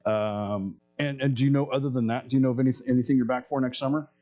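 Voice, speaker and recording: A man with a deep voice hosting a casual podcast interview, asking easygoing questions. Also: fairly even intonation, fast talker, mellow, dull audio. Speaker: podcast host.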